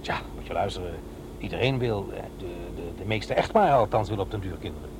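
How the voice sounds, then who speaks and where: A man's voice: on the low side, expressive. Defensive middle-aged father over car noise. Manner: halting, explanatory, defensive. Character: middle-aged divorced father, defensive, earnestly explaining himself. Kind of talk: radio drama dialogue. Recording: clear vehicle noise behind voice. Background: car driving ambience.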